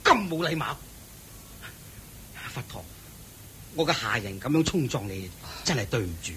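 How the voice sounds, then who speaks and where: An actor playing a devout lay host who eagerly and respectfully serves the Buddha, in a radio play. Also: male voice, mid-range voice, big pitch swings, moderate pace, bright, forceful tone, a little hiss or hum. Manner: respectful, eager, fussing politely. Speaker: householder hosting the Buddha. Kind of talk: Buddhist radio drama acting.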